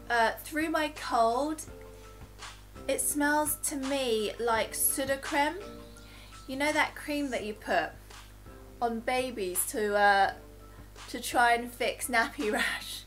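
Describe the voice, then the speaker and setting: Lady, higher voice, bit nasal from a cold, chatting through a makeup demo. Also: slow, fairly bright tone, faint music behind. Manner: chatty, talks while applying makeup. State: nasal from recovering flu. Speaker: beauty vlogger. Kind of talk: casual product review and demo.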